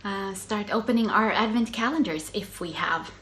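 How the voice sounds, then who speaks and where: A woman's voice: medium pitch, moderate pace, a little hiss or hum. A middle-aged woman from Finland with a Finland-Swedish accent, Swedish native, hosting a casual knitting podcast in a chatty way, with many ums and small laughs. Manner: chatty, self-interrupting, soft laughs, frequent ums. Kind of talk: casual solo video podcast.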